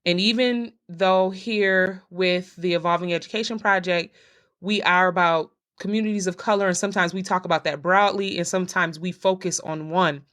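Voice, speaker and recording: A woman hosting a podcast, introducing her guests in an earnest, unscripted way with many pauses to think. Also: fairly low pitch, steady tone, a bit bright. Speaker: podcast host. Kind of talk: casual spoken introduction.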